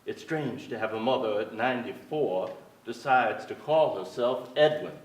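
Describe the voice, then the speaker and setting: A man's voice: medium pitch, moderate pace, lightly acted. A man in his sixties playing a wistful, wry aging playwright, reminiscing in a theatrical, reflective staged reading. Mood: wry, fond. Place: theater stage before an audience. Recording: echoey theater stage, distant mic, faint noise.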